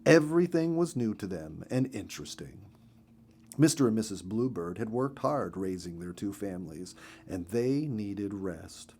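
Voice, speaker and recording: A man reading a children's story as narrator in an expressive, gentle storytelling manner. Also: on the low side, normal speed, slight background noise.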